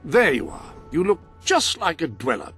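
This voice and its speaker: Prim loyal British manservant, game cutscene, high-pitched, hugely expressive intonation, formal diction, faint music. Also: male voice, breathy edge, exaggerated voice. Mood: helpful, fussy. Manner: formal, fastidious, wordy. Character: fussy, learned, loyal royal butler. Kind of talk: video game cutscene acting.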